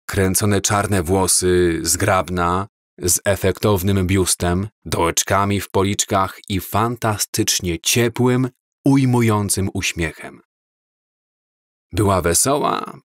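Male audiobook narrator, unhurried and measured, lowish voice, dry close recording. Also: no room sound. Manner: slow, measured, calm reading. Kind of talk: scripted storybook narration.

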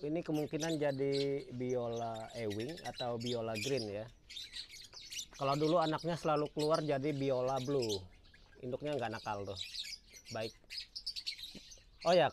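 Man vlogging amid noisy birdsong. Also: mid-range voice. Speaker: lovebird breeder and vlogger. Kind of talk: casual vlog narration to viewers. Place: outdoors among backyard bird cages. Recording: bird chirps, clicks, outdoor noise. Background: loud birdsong and chirping.

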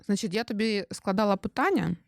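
A woman's voice: medium pitch, expressive, brisk, vocal fry, no room sound, clean studio sound. Playful chatty young woman host. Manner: playful, teasing, chatty, switches between Ukrainian and Russian words. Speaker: blogger hosting her husband. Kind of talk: casual teasing interview.

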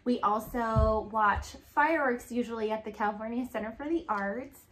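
A woman in her thirties hosting a children's story time, reading aloud and asking questions in animated, child-directed talk. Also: fairly high pitch, moderate pace. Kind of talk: picture-book read-aloud and chat for children. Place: living room, indoors. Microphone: camera a few feet away. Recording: echoey room.

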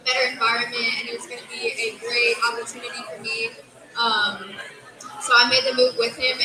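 A teenage girl soccer player from Georgia, answering hesitantly with many ums. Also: on the high side. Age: teens. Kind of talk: casual interview answers. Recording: echoey room with crowd chatter.

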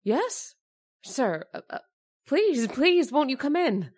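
A woman's voice: high voice, sing-song, takes it slowly, noisy background, full character voice. A woman playing an anxious, thrifty landlady who stammers and fusses to please, in a radio drama. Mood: flustered. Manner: flustered and stammering, eager to please. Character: anxious, eager landlady, middle-aged, thrifty.

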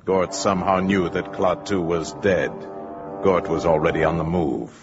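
A man narrating a 1950s radio drama as a grave storyteller, in a portentous, deliberate manner. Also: low voice. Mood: grave, ominous. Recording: muffled old radio, loud music behind.

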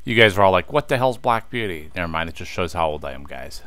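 A man's voice: sits low, lively intonation, fast, a bit bright, no echo. A man in his thirties chatting casually to viewers as he plays a game, easily frustrated and talking to them directly. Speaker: let's play host. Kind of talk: casual gameplay commentary.

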